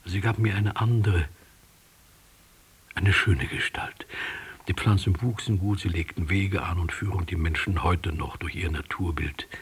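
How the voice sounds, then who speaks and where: An actor playing an enigmatic, reflective interlocutor in a German radio drama, speaking poetically in a husky, often near-whispered voice. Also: male voice, low voice, exaggerated voice. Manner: measured, philosophical, poetic. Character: enigmatic, reflective male interlocutor, probing and gently admonishing.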